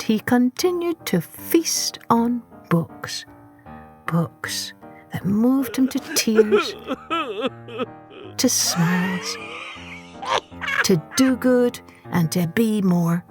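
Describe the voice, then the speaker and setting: A woman narrating a children's story expressively, with deliberate, dramatic phrasing. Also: medium pitch, darkish tone.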